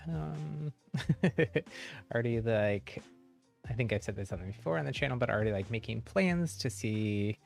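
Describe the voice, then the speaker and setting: A male streamer talks to viewers in an informal, meandering way, prone to giggling. His voice sits at an average pitch with a noticeable creaky fry, and music plays faintly behind him. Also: animated, moderate pace. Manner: rambling, frequent giggles mid-sentence. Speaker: live streamer and channel host. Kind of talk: casual livestream chat to viewers.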